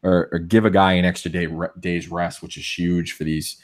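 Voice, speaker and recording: A man with a Boston accent co-hosting a casual sports podcast, opinionated and full of restarts, with a voice going hoarse. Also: sits low, little pitch movement, normal speed. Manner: opinionated, many restarts and fillers. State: losing his voice. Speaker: podcast co-host.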